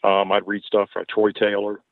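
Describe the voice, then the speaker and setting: An older man with a light Southern accent talks in a low, gravelly voice, folksy and rambling as he answers questions. His voice comes over a tinny, phone-like line with a dry sound. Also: completely flat delivery, moderate pace, no room sound. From Baltimore, Maryland. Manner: folksy, rambling, chuckles often. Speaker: ghost tour owner. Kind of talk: podcast interview over a call.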